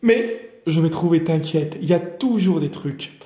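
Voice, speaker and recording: A man from the Paris region gives an improvised oracle card reading in a roomy, echoing space. His voice sits a little high but sounds mellow and soft-edged. He rambles along in a familiar way, speaking right to the viewer as a friend. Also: lively intonation, a little slow. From Paris region, France. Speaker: card reader hosting daily guidance videos. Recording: roomy echoey room.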